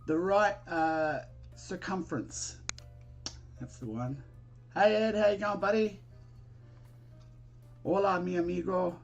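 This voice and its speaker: A man's voice: sits high, slow, long pauses, lo-fi sound, soft background music. A man with an Australian accent hosting a casual live stream, chatting informally with viewers and thinking aloud in a hesitant, rambling way. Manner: friendly, informal greetings to viewers. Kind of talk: casual chat while building, answering viewers.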